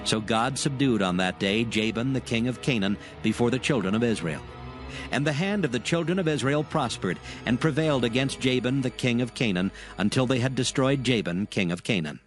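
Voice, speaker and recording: A man's voice: medium pitch, lively intonation, brisk, soft background music, natural voice. A man narrating dramatized scripture as a solemn storyteller, reading scripted text.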